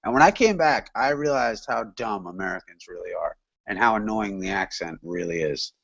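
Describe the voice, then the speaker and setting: A man's voice: lowish voice, expressive, normal speed, vocal fry, a bit bright, breathy edge, no echo, muffled recording. A man chatting casually as a panelist in an online hangout, rambling and profane, with lots of fillers. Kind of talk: casual chat.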